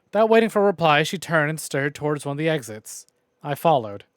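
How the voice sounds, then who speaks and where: Playful higher-voiced man streaming a game. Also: very animated, fairly quick, noisy background. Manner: playful, joking, theatrical when reading. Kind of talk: live visual novel playthrough, reading dialogue aloud and commenting.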